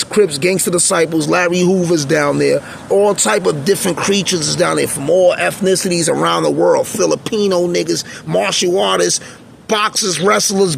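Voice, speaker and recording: A man with a raspy voice telling stories in a blunt, streetwise, rambling monologue. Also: fairly high pitch, a little hiss or hum. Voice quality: raspy, gravelly voice. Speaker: channel host, former prisoner. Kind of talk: casual unscripted monologue.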